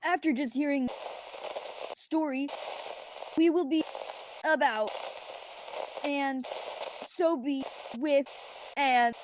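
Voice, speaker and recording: A high-voiced female radio announcer, acted by a teenage girl, speaks slowly. Clear noise crackles through the broadcast. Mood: announcing. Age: teens. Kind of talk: student radio theatre acting.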